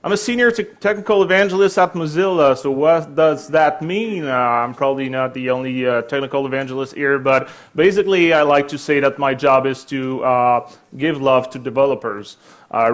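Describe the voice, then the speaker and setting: A man with a French accent in his thirties, giving a chatty, joking conference talk in a hall, with many fillers. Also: mid-range voice. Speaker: conference speaker.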